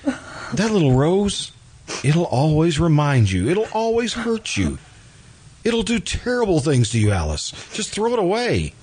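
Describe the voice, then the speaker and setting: Man acting an earnest, brooding lovesick man, swooping pitch. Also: wildly swooping pitch, a little mellow, husky, heavily acted. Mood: urgent pleading. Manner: halting, pleading, repeats himself. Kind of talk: old-time radio drama acting. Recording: noisy amateur radio drama recording.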